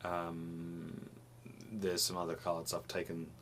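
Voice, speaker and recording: Deep-voiced rambling young man, sniffly. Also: completely flat delivery, slow, a bit bright, slight background noise. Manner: rambling, restarts phrases, laughs often. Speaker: vlogger. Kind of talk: casual chat to camera. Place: small home room with computer desk.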